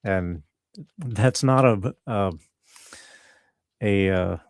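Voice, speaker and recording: A man with a slightly low voice answers casually as an interview guest. He is laid-back and a little halting as he explains things, and the sound is dry and close. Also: no room sound. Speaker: interview guest, film composer.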